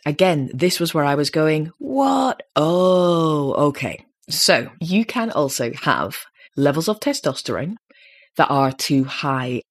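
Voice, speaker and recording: A woman in her late thirties with a slightly deep voice, chatting casually as a podcast host and growing animated when she makes a point. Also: slightly rough. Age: 30s. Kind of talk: casual chat.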